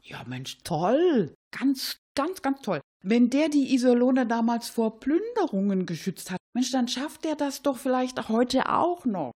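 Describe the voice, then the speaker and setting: A woman hosting a satirical cabaret radio show, speaking with playful irony and a husky voice. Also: on the high side, unhurried, few pauses. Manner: playfully ironic, animated.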